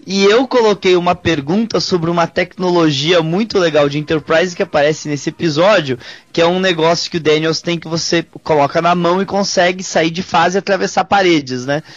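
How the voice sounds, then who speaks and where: A man, co-hosting a podcast with relaxed storytelling and light teasing. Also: high voice, no room sound. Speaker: podcast co-host. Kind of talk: casual chat. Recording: dull, dry lo-fi audio.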